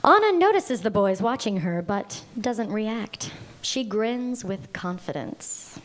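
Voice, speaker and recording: A woman reading script stage directions aloud at a live table read in a deliberate, measured way. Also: medium pitch, lively intonation.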